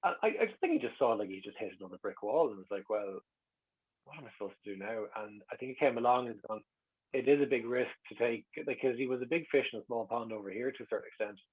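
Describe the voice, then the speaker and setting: Irish guy, middle aged, talks quick n husky, rambling wry stories, bit of a dull recording. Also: mid-range voice, lively intonation. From Ireland. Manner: rambling, self-deprecating, wry. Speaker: interview guest. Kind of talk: casual anecdotal reminiscence.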